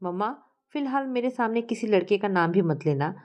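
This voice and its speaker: Woman narrator as young adult daughter in an audio story, firm, defiant, mid-pitched. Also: animated, a little fast, a little mellow, close to an everyday voice.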